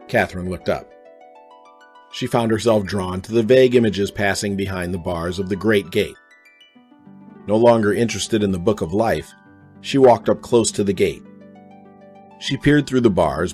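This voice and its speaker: A man's voice: fairly low pitch, a little mellow. A man reading scripted narration for a radio drama.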